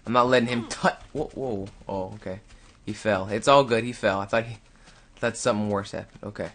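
A man's voice: on the low side, steady tone, fairly quick, a bit bright. A young man giving casual, rambling gameplay commentary, talking to himself and his viewers close to the mic. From Canada. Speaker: gaming YouTuber. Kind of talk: casual let's-play commentary.

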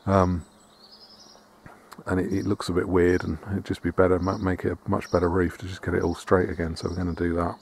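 Low gravelly man touring an old barn. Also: fairly quick. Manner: relaxed, hesitant with fillers and restarts. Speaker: vlogger restoring a farmhouse. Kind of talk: casual walk-around tour. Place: outdoors in a farmyard and inside a large barn.

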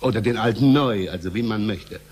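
An actor playing an eccentric, cagey foreign inventor in a science-fiction radio play, speaking in halting, broken sentences. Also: male voice, fairly low pitch, a little mellow, slight background noise, lightly acted. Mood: eager, cagey. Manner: halting broken German syntax with tag questions.

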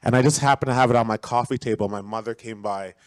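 A man's voice: medium pitch, fast talker, no echo. A man from the audience speaking into a floor mic, earnestly thanking an author and asking a question. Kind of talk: audience question at a public talk. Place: large auditorium. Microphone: handheld audience mic.

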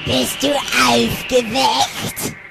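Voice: mid-range voice, little pitch movement, loud music behind the voice, heavily acted. A performer voicing an ugly, disgusting old granny with a grating voice and a revolting tone in a parody audio play. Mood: creepy sweetness. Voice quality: creaky, grating. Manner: slow, drawn-out, revolting tone.

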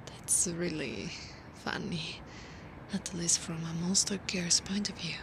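Woman voicing a slow, breathy, flirty slime monster woman. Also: on the low side, steady tone. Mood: playful, teasing. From Italy. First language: Italian. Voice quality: breathy, often half-whispered. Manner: slow, playful, teasing. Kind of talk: intimate ASMR roleplay. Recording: clear background ambience noise.

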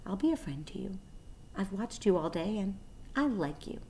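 A woman voicing a small, gentle, friendly unseen creature in a storybook, with a thin, soft voice. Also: mid-range voice, sing-song, moderate pace, slight background noise, rough recording.

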